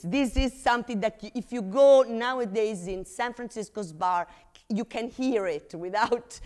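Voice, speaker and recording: A middle-aged woman, a non-native English speaker, giving an academic conference lecture in an emphatic, explanatory way with frequent check-in tags. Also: fairly high pitch. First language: not English. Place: university lecture room.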